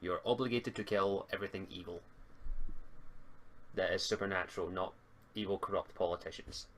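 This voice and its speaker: A man's voice: deep voice, moderate pace, a bit bright, a little hiss or hum. A man acting as game master, explaining tabletop game rules over an online call, often stammering and restarting his words.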